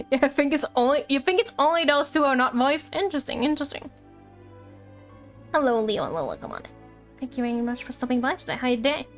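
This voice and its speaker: A woman streaming a game live with casual, excitable, giggly commentary. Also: high-pitched, a little fast, long pauses, soft background music.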